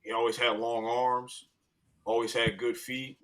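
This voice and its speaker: A man's voice: mid-range voice, flat delivery, unhurried, fairly bright tone. An American man giving casual sports opinions as a panelist, emphatic and repeating phrases to drive his point.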